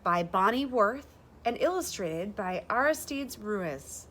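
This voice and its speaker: A woman in her thirties reading a rhyming children's picture book aloud, with deliberate, expressive phrasing for young listeners. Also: medium pitch, slight background noise. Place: bright sunroom with large windows, indoors.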